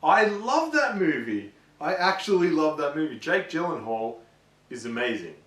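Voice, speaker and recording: A man's voice: fairly high pitch, sing-song, normal speed, fairly bright tone. A man co-hosting a gaming show in casual, informal chat, giving his opinions with enthusiasm. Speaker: co-host of a gaming video show. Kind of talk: casual chat about films and games. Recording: echoey room, distant mic.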